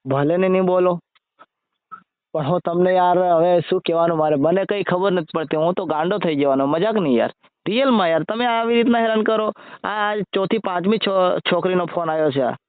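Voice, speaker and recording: A man's voice: sits high, brisk, no echo. A man with a Gujarati accent chatting casually on a phone call, colloquial, repeating himself and asking questions. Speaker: amateur video creator on a phone call. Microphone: recorded phone call. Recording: muffled lo-fi phone call recording.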